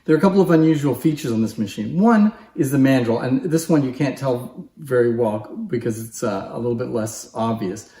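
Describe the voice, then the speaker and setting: Guy doing an informal antique show-and-tell, plain spoken, mid pitch, roomy echo. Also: animated, a little fast, few pauses, dark tone. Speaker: antique phonograph collector and presenter. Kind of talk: unscripted explanatory demonstration to camera. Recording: echoey, roomy sound.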